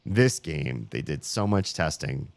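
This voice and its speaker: A man streaming a puzzle game, grumbling sarcastically about the puzzles while his voice is going hoarse. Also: extremely low pitch, sing-song, unhurried, dry recording. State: losing his voice. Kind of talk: casual live-stream commentary.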